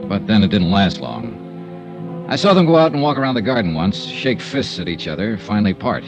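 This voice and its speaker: An actor playing a cool, wry insurance investigator in a 1950s radio detective drama, with dry, understated delivery. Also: male voice, lowish voice, very animated, music in the background. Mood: wry narrating. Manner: dry, understated, measured first-person narration and talk. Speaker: insurance investigator, narrator and lead. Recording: muffled old radio recording.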